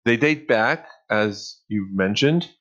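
A man with a slight Israeli accent, a law professor answering interview questions thoughtfully, pausing with many fillers. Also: on the low side, expressive, a bit bright, dull audio.

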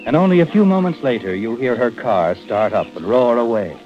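An American actor playing a knowing, taunting narrator of a 1940s-style radio suspense show, insinuating and dramatic. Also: male voice, medium pitch, animated, a little fast, faint music behind, natural voice. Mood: ominous. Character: knowing, taunting mystery narrator addressing the guilty man. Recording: muffled old radio broadcast recording.

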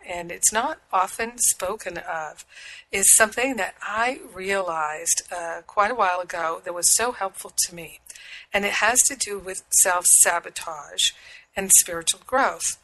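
A woman hosting a spiritual radio talk, her voice husky and hoarse from a cold, speaking slowly with light laughs. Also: medium pitch, bright, forceful tone, a little hiss or hum, rough recording. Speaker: radio show host and spiritual teacher.

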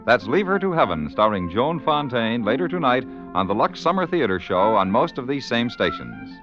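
A man's voice: mid-range voice, very animated, moderate pace, music in the background. A man announcing on 1950s radio in a polished, formal scripted style. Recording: dull vintage radio recording.

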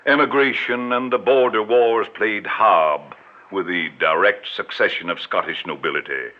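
An actor in an old radio drama playing a smooth, pompous middle-aged con man with florid, grandiloquent speech. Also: on the low side, normal speed, close to an everyday voice. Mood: unctuous. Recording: dull vintage radio recording.

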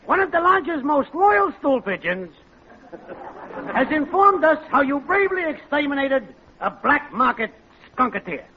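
An actor playing a pompous, formal lodge leader in a 1940s radio comedy. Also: male voice, extremely high pitch, sing-song, a little slow, exaggerated voice. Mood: officious. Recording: muffled, slightly noisy old radio recording.

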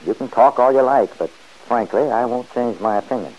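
A man playing gruff, stubborn Lefty. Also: mid-range voice, a little fast, a little mellow, natural voice, poor audio. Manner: gruff, defensive, mumbling. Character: Lefty, restless stubborn middle-aged man. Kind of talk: 1940s radio acting.

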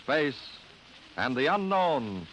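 A man's voice: mid-range voice, sing-song, takes it slowly, a bit bright, a little hiss or hum, poor audio. A man announcing in 1950s network radio style on a vintage broadcast recording.

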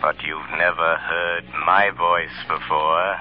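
An actor playing an invisible, calm and commanding male avenger in a 1930s radio drama. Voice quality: slow, deep, measured. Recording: muffled 1930s radio recording.

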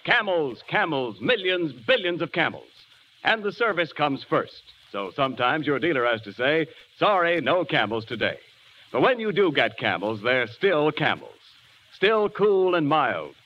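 A man's voice: on the high side, very animated, a little slow. A man reading a 1940s radio commercial as announcer in an emphatic, declamatory style. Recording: dull old radio broadcast, faint noise.

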